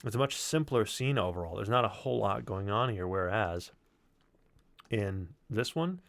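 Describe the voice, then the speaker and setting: A man giving a casual technical walkthrough as a sound designer on a livestream, thinking aloud haltingly and swearing now and then. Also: lowish voice, lively intonation, vocal fry, a bit bright, dry recording. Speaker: sound designer presenting work.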